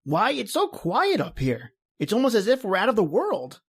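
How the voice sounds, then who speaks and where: High, husky young assistant acted by a man. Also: wildly swooping pitch, moderate pace, heavily acted. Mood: eager, deferential. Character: earnest young male assistant, deferential and weary. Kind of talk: old-time radio acting.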